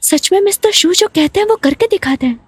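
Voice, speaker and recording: A woman's voice: very high-pitched, animated, a little fast, no room sound. A young woman playing a spirited, evasive office assistant in a dubbed drama. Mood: earnest, secretive. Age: young adult.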